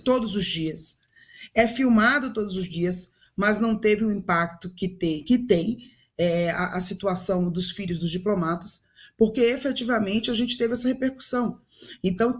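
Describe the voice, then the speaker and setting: A woman from Salvador with a Bahian accent, commenting on a studio panel in an earnest, firm way with some hesitations. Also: mid-range voice. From Salvador, Bahia, Brazil. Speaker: businesswoman, commentator.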